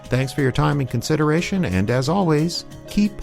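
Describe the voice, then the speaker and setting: A man's voice: fairly high pitch, lively intonation, normal speed, vocal fry, a little mellow. A man reading scripted announcements and narration as the announcer of a radio theater. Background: music.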